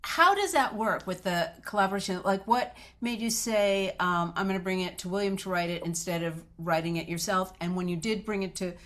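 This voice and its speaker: A woman's voice: mid-range voice, a little fast, hardly any pauses, fairly bright tone. A woman moderating a writers' Q&A in a conversational, effusive and appreciative way. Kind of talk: conversational interviewing.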